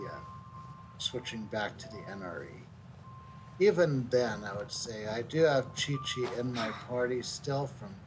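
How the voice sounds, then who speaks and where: A man's voice: medium pitch, rough recording. Casual man commenting on gameplay. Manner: casual, rambling, thinking aloud. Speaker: streamer. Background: game music.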